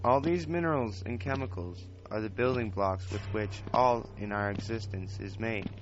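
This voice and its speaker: Guy playing a chatty wizard apprentice, lowish male voice, fantasy podcast, music behind him, dull homemade sound. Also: moderate pace, natural voice. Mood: earnest, explaining. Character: young wizard's apprentice, chatty, grumbling, eager amateur scholar. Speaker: host. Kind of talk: scripted fantasy podcast monologue and narration. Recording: dull lo-fi home recording, loud music.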